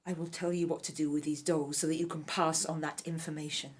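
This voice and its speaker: A woman playing a ruthless, scheming royal wife of ancient Egypt in an audio drama, speaking in an imperious, deliberate, menacing way. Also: low-pitched, flat delivery, natural voice. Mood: scheming, commanding.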